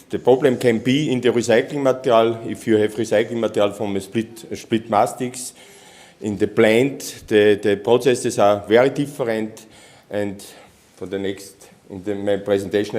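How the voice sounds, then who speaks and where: A man from Austria, a native German speaker, giving a technical conference presentation in halting English with many restarts. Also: lowish voice, darkish tone. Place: conference hall.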